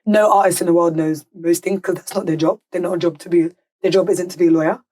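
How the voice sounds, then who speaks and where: A woman's voice: lowish voice, fairly even intonation, fast, mellow. A woman speaking as a podcast guest in a casual panel discussion, blunt, emphatic and sure of herself. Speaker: podcast guest, music legal and business affairs manager.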